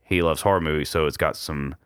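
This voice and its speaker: A man's voice: a bass voice, fairly even intonation, fast, clear, resonant tone, no echo, clean studio sound. A man hosting a casual podcast chat, easygoing and rambling with lots of fillers. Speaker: podcast host. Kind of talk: casual chat over beers.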